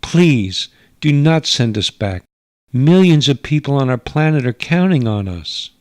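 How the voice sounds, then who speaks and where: A man's voice: medium pitch, expressive, a little mellow, dry recording, voice pushed up, lightly acted. A man playing a formal, earnest alien mother. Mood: earnest, pleading. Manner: formal, careful foreigner's wording, halting for words. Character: Kim, stern alien mother, earnest and pleading. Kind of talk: radio drama narration with character voices.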